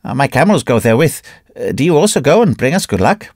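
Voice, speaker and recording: A man's voice: medium pitch, sing-song, quick pace, a little mellow, no echo, studio quality, pitched above the performer's own voice. A man voicing a bitter, grunting male trading agent. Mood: grumbling. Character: grumbling middle-aged male trading agent.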